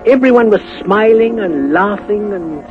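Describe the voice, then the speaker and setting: A man's voice: high voice, animated, measured pace, dull audio, soft background music. A man narrating a 1950s radio drama in a dramatic scripted storytelling style.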